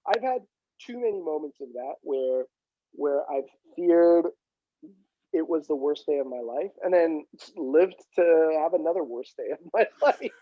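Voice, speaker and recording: A fairly high-voiced man, Punjabi by first language, chatting as a podcast guest. He is wry and jokey and swears offhandedly. His audio is dull and very dry. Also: animated, a little slow, no room sound. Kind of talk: casual chat.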